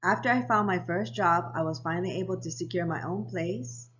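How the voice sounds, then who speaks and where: A woman of around 60, raised in Japan and Hawaii, narrating her own life story for a vlog in a measured, read-aloud way. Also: lowish voice, fairly bright tone, highs cut off. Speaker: vlogger. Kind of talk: scripted personal narration.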